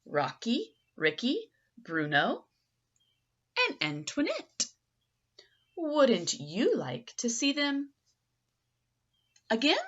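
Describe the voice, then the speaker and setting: Female storybook reader: highish voice, swooping theatrical delivery aimed at young listeners, slightly dull sound. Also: huge pitch swings, drawn-out words, long pauses, fairly bright tone. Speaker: picture book reader. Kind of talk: expressive children's story read-aloud.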